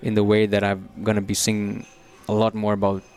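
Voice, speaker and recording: A man's voice: lowish voice, completely flat delivery, brisk, darkish tone, clean tone. A young man who grew up in Brazil, a musician talking thoughtfully in an interview, often repeating words as he searches for what to say. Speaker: musician guest. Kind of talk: conversational interview.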